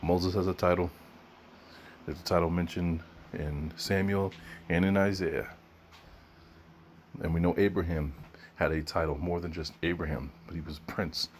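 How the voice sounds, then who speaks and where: A man's voice: low-pitched, steady tone, normal speed, pauses often, slight background noise. A man hosting, commenting casually on a text being read aloud, musing and conversational. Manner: musing, conversational, with fillers and asides.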